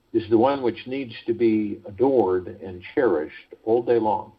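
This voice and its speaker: A man hosting a guided meditation call, speaking in a measured, deliberate way with pauses between phrases, over a phone conference line. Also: lowish voice, husky. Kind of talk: guided meditation talk, reading prepared spiritual text. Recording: muffled, lo-fi conference call audio.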